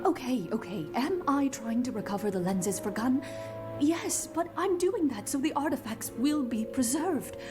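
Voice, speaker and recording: A composed professor and agent in an audio drama. The woman's voice sits a little high, measured and earnest, with clear music behind it. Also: expressive. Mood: earnest, apologetic. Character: composed, apologetic female professor and covert agent.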